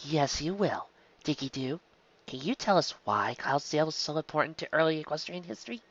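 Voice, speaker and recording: A woman voicing a patient but gently stern female pony schoolteacher in a story, measured and instructive. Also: deep voice, animated, highs cut off. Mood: questioning.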